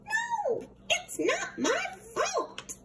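Woman doing a high whiny little boy. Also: huge pitch swings, very noisy, full character voice. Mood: defensive whining. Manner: loud, drawn-out wailing excuses. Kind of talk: storybook read-aloud character voice.

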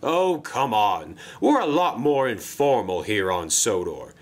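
A man voicing a pompous, fussy male railway controller who talks in a brisk, jolly and formal way. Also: lowish voice, big pitch swings.

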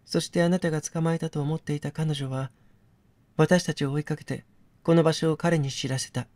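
Man voicing a soft, coy captive woman. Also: on the high side, fairly even intonation, fairly quick, dry recording, lightly acted. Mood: taunting. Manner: feminine, composed, coy phrasing. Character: captive actress, soft, wistful, teasing woman. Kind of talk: dramatic game dialogue reading.

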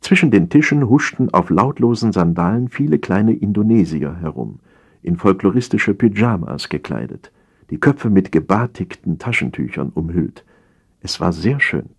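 Low-voiced, very animated male storyteller. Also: normal speed, creaky, breathy edge. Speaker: audiobook narrator. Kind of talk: scripted humorous narration.

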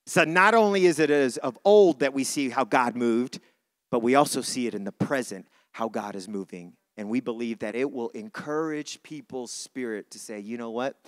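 A man's voice: mid-range voice, moderate pace. A man preaching a church sermon in an informal, conversational way, repeating phrases for emphasis. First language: not English (says English is not his first language).

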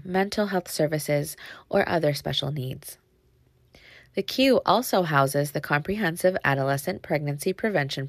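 A woman's voice: low-pitched, gravelly, no room sound. A woman, a youth development specialist, reading a scripted presentation over slides on a webcam.

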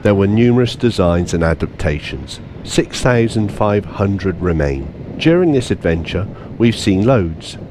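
A man giving scripted narration for his motorbike travel vlog in a steady, informative voice-over manner. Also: low-pitched, measured pace, few pauses, background noise. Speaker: vlogger narrating a motorbike tour.

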